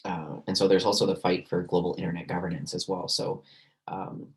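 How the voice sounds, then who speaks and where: A man lecturing on a recorded class, hoarse and losing his voice, talking loosely with many fillers and restarts. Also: deep voice, fairly even intonation, fairly quick. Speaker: university lecturer.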